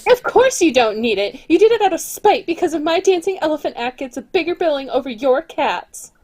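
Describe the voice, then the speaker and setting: A woman's voice: high-pitched, very animated, brisk, a little mellow. A woman playing an indignant circus star. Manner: indignant and taunting. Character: proud young female circus star. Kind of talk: 1940s radio acting.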